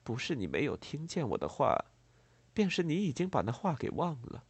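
A man voicing a composed, proud, tender gentlewoman in a storybook, speaking in a measured, earnest and formal way. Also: on the high side, huge pitch swings, slightly rough, no echo, pitched above the performer's own voice, full character voice. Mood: earnest, firm.

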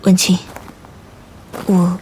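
A woman's voice: very slow, a little mellow, a little hiss or hum. An actress playing a guarded, devoted woman in a modern audio drama, with a restrained and tender delivery. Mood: calm, gentle. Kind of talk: modern Chinese radio drama acting. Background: music.

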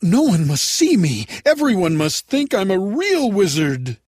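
A man's voice: high-pitched, wildly swooping pitch, a little slow, a little mellow, husky, no echo, studio quality, pitched above the performer's own voice, full character voice. A man voicing a little old man who pretends to be a great wizard, by turns grandiose and apologetic. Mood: confiding.

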